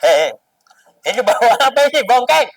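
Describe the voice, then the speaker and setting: A man's voice: extremely high pitch, sing-song, normal speed, creaky, distant mic, heavily acted, rough recording. A man voicing a kindly, grateful, easily flustered male religious teacher who exclaims and repeats himself in a children's story.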